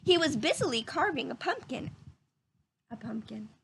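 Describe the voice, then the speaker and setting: A woman's voice: sits high, big pitch swings, moderate pace, background noise. A woman reading a children's story aloud expressively, with little asides to her listeners.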